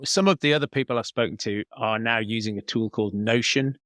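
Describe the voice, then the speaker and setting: A man's voice: mid-range voice, fairly quick, fairly bright tone, no echo, studio quality. A man giving conversational interview answers as a podcast guest, explaining things as he thinks aloud. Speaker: podcast guest, business coach.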